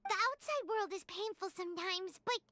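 A woman voicing a small, indignant, childlike fairy companion in an anime video game, squeaky and exclamatory. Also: moderate pace, noisy background. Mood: earnest, pleading. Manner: exclamatory, refers to herself by name.

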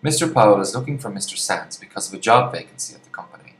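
Guy w lowish voice reading scripted intro, echoey, bit of noise behind. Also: expressive, fast talker. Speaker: narrator. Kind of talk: scripted narration. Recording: echoey room, faint background noise.

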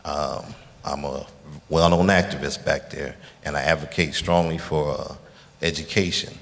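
Very deep-voiced man in a hall. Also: fairly even intonation, a bit bright. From Illinois, United States. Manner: deliberate, persistent. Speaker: activist asking a question from the audience. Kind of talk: prepared public question with preamble. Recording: reverberant hall PA.

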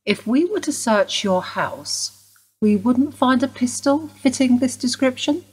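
A woman acting in a radio play as a stern police detective, formal, clipped and insistent, with a husky voice. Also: sits high, animated, a little slow, a little mellow, full character voice, poor audio. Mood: formal, probing. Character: stern, probing female police detective inspector.

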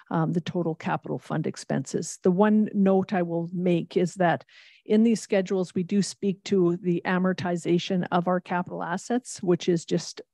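Woman finance presenter, low and mellow, measured and explanatory, dry table-mic sound. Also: no room sound. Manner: measured, explanatory, reads long figures. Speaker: municipal finance staff presenting a budget. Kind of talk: formal budget presentation. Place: large council chamber.

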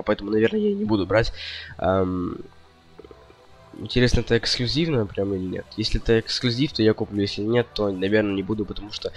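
Young male gaming host, lowish voice, casual and indecisive, faint game music behind. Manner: slangy, indecisive rambling to viewers. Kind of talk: casual gameplay commentary.

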